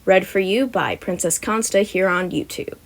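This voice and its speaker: A woman's voice: mid-range voice, normal speed. A young adult woman with a mid-range voice speaks informally, like someone reading fan fiction for her own listeners. Her delivery is casual and unpolished, with small stumbles and self-corrections. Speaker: podfic reader and channel host. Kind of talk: casual chatty intro and amateur story narration.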